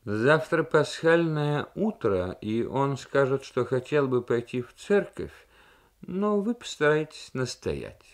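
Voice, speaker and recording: A man voicing a composed male diplomat in a radio novel reading, giving polite, deliberate, insistent instructions. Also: mid-range voice, big pitch swings, pitched above the performer's own voice.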